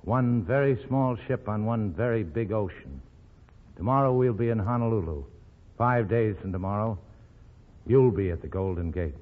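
A man's voice: lowish voice, normal speed, natural voice. An actor playing a doomed, tender, melancholy leading man in a 1940s radio romance. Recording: old phone-like radio recording, faint music.

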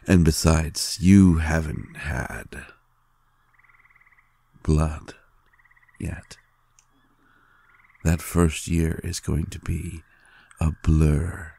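A man playing a tender, adoring vampire lover in an intimate audio roleplay, gently teasing in a hushed, halting, gravelly voice. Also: exaggerated voice. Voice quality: very deep, gravelly and husky. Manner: slow, hushed, halting with pauses.

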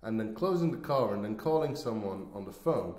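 A man chatting casually as a podcast host, speaking haltingly. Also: on the low side, animated, darkish tone. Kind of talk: casual chat. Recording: roomy, echoey sound.

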